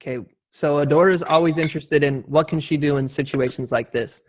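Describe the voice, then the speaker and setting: A man with a fairly high voice acts as moderator of a panel discussion. He relays questions and hands the floor to the speakers. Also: fairly quick, slight background noise.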